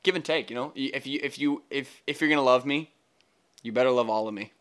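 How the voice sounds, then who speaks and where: A man's voice: medium pitch, quick pace, a bit bright. A young man co-hosting a podcast, trading dry, wry comebacks in casual banter. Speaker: podcast co-host.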